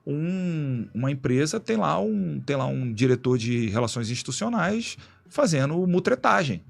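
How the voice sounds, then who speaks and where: A man being interviewed as a guest in a radio studio, explaining in a relaxed, slangy way with many restarts. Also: on the high side, normal speed, gravelly. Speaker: guest, executive director of an institute.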